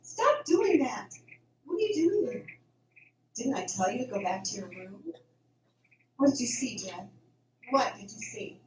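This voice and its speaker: Radio play part: actress as paranoid, insistent young farm woman, high voice, recorded roomy with clear noise. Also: sing-song, measured pace, pauses often. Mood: flustered. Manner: urgent, emphatic warnings. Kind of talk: amateur radio drama dialogue. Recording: roomy, distant mic, noisy background.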